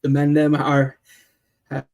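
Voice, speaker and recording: A man hosting a live football chat, talking in an animated, playful way with lots of fillers and restarts. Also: on the high side, slow pace. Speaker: host.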